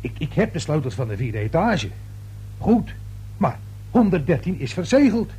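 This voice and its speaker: A man's voice: fairly high pitch, sing-song, moderate pace, dark tone, slightly rough, full character voice. An actor playing a flustered male building caretaker in a radio play, stammering and defensive, with colloquial speech. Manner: stammering, hesitant, repeats words. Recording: old radio play recording with faint hum.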